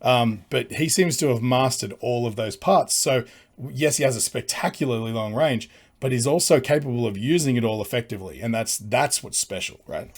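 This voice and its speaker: A man with a low voice hosting a music reaction video, talking casually and rambling with fillers and restarts. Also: normal speed, breathy edge.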